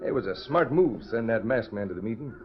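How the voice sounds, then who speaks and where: A man's voice: mid-range voice, lively intonation, fairly quick, close to an everyday voice. An actor playing an opportunistic cattleman in a 1940s radio western, talking in a rustic drawl. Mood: sly, pleased. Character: folksy, opportunistic cowhand partner in a shady deal. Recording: dull lo-fi 1940s radio recording.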